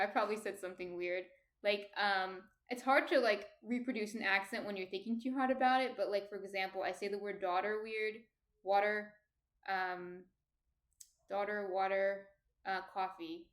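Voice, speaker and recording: A soft-spoken woman in her thirties with a New Jersey accent, casually chatting and answering viewer comments on her stream. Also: mid-range voice. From New Jersey, USA.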